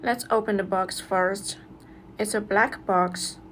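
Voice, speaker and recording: A woman's voice: medium pitch, fairly even intonation, slow, slight background noise. A woman reads a scripted product review as a narrator, going through instructions step by step.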